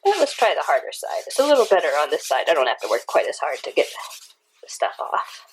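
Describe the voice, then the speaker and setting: A woman's voice: low voice, sing-song, quick pace, a little hiss or hum, rough recording. A woman hosting a casual cleaning vlog from her home kitchen, chatty and rambling with restarts, her voice stuffy from a cold. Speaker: cleaning video host. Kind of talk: casual product test vlog.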